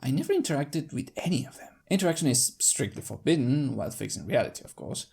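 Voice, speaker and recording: A narrator reading scripted first-person fiction in character, with deliberate, dramatic phrasing. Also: mid-range voice, sing-song, vocal fry, darkish tone, breathy edge. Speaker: fanfiction narrator.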